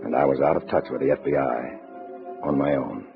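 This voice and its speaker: An actor playing a tough, wary undercover agent in a 1950s radio drama, with a clipped, wry, hard-boiled delivery. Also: male voice, extremely low pitch, fairly even intonation, fairly quick, gravelly. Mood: grim narrating. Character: tough, wary male undercover agent posing as communist comrade. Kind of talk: 1950s radio drama acting with first-person narration. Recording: muffled old radio recording, loud music.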